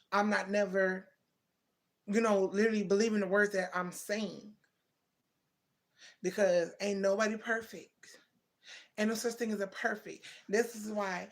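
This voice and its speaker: A woman's voice: medium pitch, fairly even intonation, normal speed, creaky, pauses often. A woman in her thirties hosting a casual livestream, talking straight to her viewers and answering their comments, calling them baby and twin. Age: 30s. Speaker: livestream host. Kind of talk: casual live chat with viewers.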